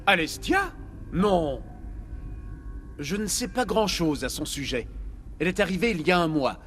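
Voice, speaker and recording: A man's voice: sits high, big pitch swings, unhurried, a bit bright, a little hiss or hum, full character voice. A man playing an earnest lab doctor in a dubbed video game. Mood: worried, helpful. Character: earnest, grateful male doctor in a research lab. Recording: music behind the voice.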